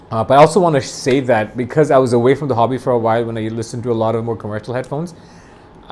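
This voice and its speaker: A man's voice: mid-range voice, darkish tone, a little hiss or hum. A man in his forties from Bangladesh, talking through a review unscripted, enthusiastic and rambling, often restarting his phrases. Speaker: audio gear reviewer.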